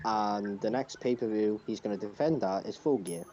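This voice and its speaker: A man's voice: on the low side, normal speed, dark tone. A man co-hosting a podcast in casual chat over a dull, lo-fi microphone. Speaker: podcast co-host.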